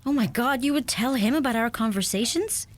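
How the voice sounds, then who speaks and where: An actress playing a self-possessed, tender young bride-to-be in a radio play, speaking directly. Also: female voice, fairly high pitch, a little fast, a little hiss or hum, close to an everyday voice. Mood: bold, teasing.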